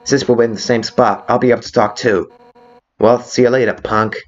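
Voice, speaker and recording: A man voicing a brash, fierce female fish warrior with a soft side in a video game fan dub, blunt and cocky. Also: medium pitch, fairly even intonation, moderate pace, lo-fi sound, lightly acted. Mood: friendly.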